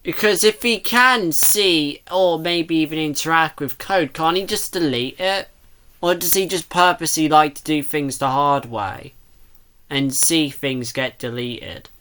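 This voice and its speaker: Bright-voiced, unhurried reaction commentator, thinking aloud. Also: mid-range voice, smooth. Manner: rambling, thinking aloud, repeats and second-guesses self. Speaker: reactor commenting on a fan comic dub. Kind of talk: casual reaction commentary on a video.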